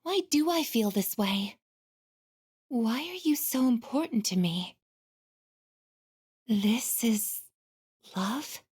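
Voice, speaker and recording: A woman playing a gentle, earnest android girl in a video game, speaking politely and formally with soft introspection. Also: on the high side, animated, slow pace, pauses often, husky, exaggerated voice. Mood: wistful, sad.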